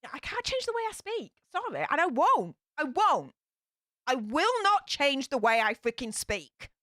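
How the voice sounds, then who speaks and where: A woman's voice: high voice, very animated, moderate pace, bright, forceful tone, dry recording, clean studio sound. A woman with a broad Yorkshire accent hosting a casual live stream, reading out chat comments in a blunt, feisty way. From Yorkshire, England.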